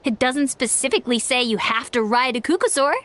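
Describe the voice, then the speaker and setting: A woman's voice: sits high, brisk, a bit bright, a little hiss or hum. A woman voicing a feisty, argumentative youngster in a video game, insistent and indignant. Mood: defiant. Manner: pleading, indignant.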